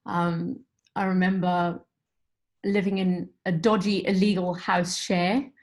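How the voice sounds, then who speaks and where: A woman's voice: fairly low pitch, flat delivery, takes it slowly, a little mellow. Middle-aged woman musician, grew up in zimbabwe, chatting on a call, thoughtful storyteller, lots of "you know". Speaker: guest musician. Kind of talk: conversational interview.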